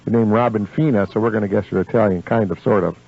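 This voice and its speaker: A man's voice: lowish voice, steady tone, quick pace, vocal fry, breathy edge. A man hosting a live local radio show, chatting with effusive exclamations of praise. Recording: dull lo-fi AM radio recording.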